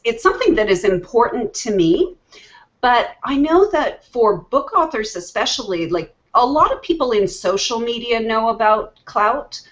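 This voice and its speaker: A woman at middle pitch hosts an online video chat, talking loosely and often circling back on her own sentences. The call audio sounds dull and lo-fi, with little top end. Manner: chatty, with fillers and restarts. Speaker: host.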